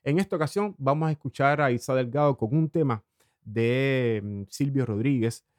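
A man from Cuba, hosting a morning radio program in a slow, lingering monologue with hesitant fillers. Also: medium pitch, a little mellow, no room sound, clean studio sound. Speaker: radio host.

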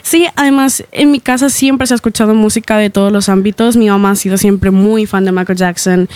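A woman's voice: medium pitch, steady tone, brisk, a little mellow, smooth, dry recording. A young woman singer from Ecuador, answering a radio interview in a cheerful way, laughing often and using many fillers. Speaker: singer, guest. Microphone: studio microphone.

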